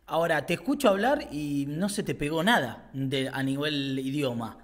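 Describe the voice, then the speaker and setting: A man's voice: a little high, expressive, moderate pace. A man in his thirties with an Argentine accent hosting an interview, asking long, rambling questions. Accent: Argentine Spanish. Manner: rambling questions, loose and friendly. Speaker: interview host. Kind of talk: casual interview. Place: small studio with brick wall. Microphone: studio mic on desk, headphones.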